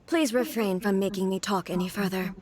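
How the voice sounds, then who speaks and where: A woman's voice: mid-range voice, brisk, darkish tone, slight background noise, lightly acted. A woman voicing an emotionless, obedient puppet ruler in a video game, speaking in a terse, solemn, formal manner. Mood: cold, detached.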